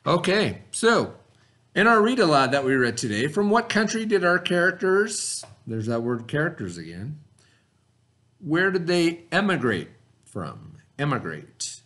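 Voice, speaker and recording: A man's voice: fairly high pitch, big pitch swings, measured pace, pushed and bright. Man teaching a read-aloud lesson. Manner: explanatory, asks and answers own questions.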